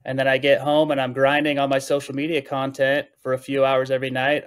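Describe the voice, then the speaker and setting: A man in his thirties with a mid-range voice, chatting as a guest on a podcast. His delivery is casual, candid and plainspoken, peppered with swearing. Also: mid-range voice. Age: 30s. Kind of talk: casual conversational interview talk.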